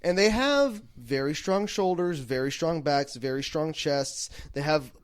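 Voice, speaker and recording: A man's voice: a little high, animated, a little slow, clean tone. A man co-hosting a comedy podcast in casual comic banter, repeating phrases for emphasis.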